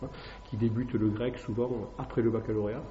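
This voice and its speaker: Hesitant lecturing man, dark echoey hall. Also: on the low side, level tone, fast talker, slight background noise. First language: French. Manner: hesitant, many fillers and tag questions. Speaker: classics teacher giving a talk. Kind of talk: public lecture to an evening audience. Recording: echoey dull room recording.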